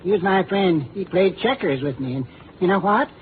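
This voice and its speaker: A crazed old man from a 1950s radio play. He rambles and repeats himself like a child, yet carries a threat. The sound is tinny and narrow, with clear noise underneath. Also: high-pitched, animated, moderate pace. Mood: confiding, menacing. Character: simple-minded, menacing old man, a crazed ex-soldier. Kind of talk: 1950s radio drama acting.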